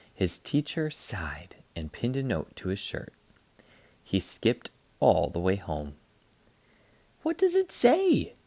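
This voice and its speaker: Man, children's read-aloud, expressive narration, gravelly edge, close dry sound. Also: fairly low pitch, no echo. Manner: animated, dramatic emphasis. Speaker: teacher reading a picture book aloud.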